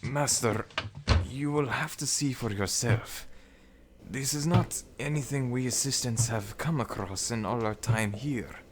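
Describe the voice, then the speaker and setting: Man playing an earnest, higher-voiced servant of a god. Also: a little slow, background noise, close to an everyday voice. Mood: respectful. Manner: formal, respectful, earnest. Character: deferential, anxious male servant of a god. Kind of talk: scripted ASMR audio roleplay.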